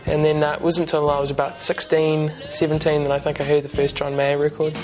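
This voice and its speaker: A young man in his twenties with a New Zealand accent, chatting as a musician guest in a relaxed, rambling way with lots of ums and restarts. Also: fairly high pitch, fast, music in the background. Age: 20s. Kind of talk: casual interview chat. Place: TV studio couch.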